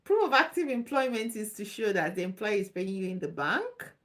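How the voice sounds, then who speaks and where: A woman's voice: medium pitch, big pitch swings, fairly quick. A woman hosting a live Q&A stream, talking loosely and reassuringly, repeating herself as she answers viewers' questions. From Nigeria. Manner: chatty, loose and repetitive, reassuring. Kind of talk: casual live Q&A.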